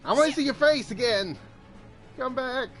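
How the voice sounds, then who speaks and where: Excitable high-voiced male game streamer. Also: moderate pace. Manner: excitable, exclaiming and muttering to himself. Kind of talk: casual live gameplay commentary. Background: game music and character voices.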